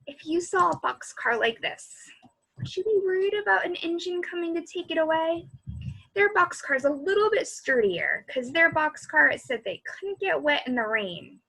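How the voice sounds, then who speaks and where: Female children's storyteller, high voice with lively swings, expressive read-aloud that speaks to young listeners. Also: normal speed, vocal fry, poor audio. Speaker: children's librarian reading aloud.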